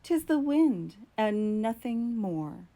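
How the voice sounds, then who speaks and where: A woman's voice: fairly high pitch, sing-song, takes it slowly, dark tone, pitched above the performer's own voice. A woman voicing a grieving, nervous scholar alone at midnight in a theatrical, emphatic poetry reading. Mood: anxious resolve. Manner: theatrical, emphatic, swooping upward.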